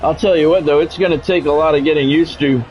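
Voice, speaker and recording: Male game streamer, slightly high voice, conversational play-by-play with music behind him. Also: steady tone, fast, a little mellow, rough recording. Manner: conversational, drifting between announcer calls and musing. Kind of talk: casual sports game commentary mixed with chat.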